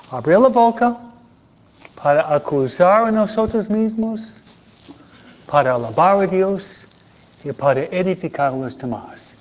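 A priest delivering an earnest sermon in Spanish, often pausing for rhetorical questions. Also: male voice, high-pitched, a little mellow. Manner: earnest, preaching with repeated pleas and rhetorical questions. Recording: dull lo-fi sound.

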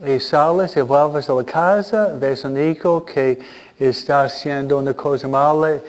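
A male priest delivers a casual sermon at a very unhurried pace, with his voice sitting a little high. He hesitates often and tosses rhetorical questions to the congregation. The recording sounds muffled and lo-fi. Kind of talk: conversational sermon with humor.

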